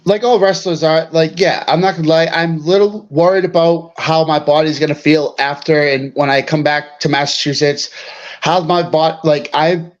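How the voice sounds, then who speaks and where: A man, a wrestler answering interview questions in a halting, casual way, full of fillers. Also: on the high side, steady tone. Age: 30s. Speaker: wrestler guest. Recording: dull lo-fi line.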